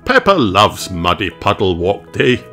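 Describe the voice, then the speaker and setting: A man reading a children's storybook aloud in an expressive narration. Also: lowish voice, measured pace, soft background music. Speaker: storybook reader.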